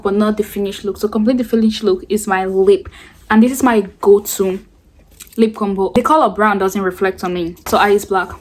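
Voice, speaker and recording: A woman's voice: mid-range voice, a little mellow. A teenage girl chatting in a rambling, casual way while doing her makeup for a get-ready-with-me vlog. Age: late teens. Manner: rambling, chatty, self-described yapping. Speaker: beauty vlogger.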